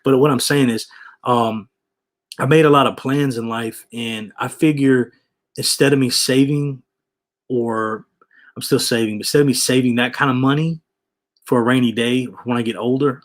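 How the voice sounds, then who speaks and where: A man's voice: medium pitch, normal speed. A man in his forties chatting casually with viewers, rambling, repeating himself and dropping "man" and "you know". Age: 40s. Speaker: vlogger reading viewer comments. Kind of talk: casual livestream chat.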